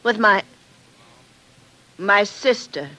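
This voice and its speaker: A bright, tense voice of middle pitch for an anxious girl in an old radio play. She speaks in hesitant, short, pleading phrases. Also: animated, extremely slow, exaggerated voice, poor audio. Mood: nervous, pleading. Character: nervous, pleading young female civilian. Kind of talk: 1950s radio drama acting.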